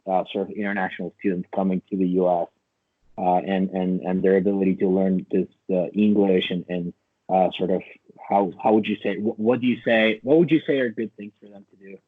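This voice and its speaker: A man's voice: low-pitched, flat delivery, normal speed. A man hosting a casual interview, asking meandering, loosely phrased questions over a thin, narrow-band connection. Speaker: interviewer, host.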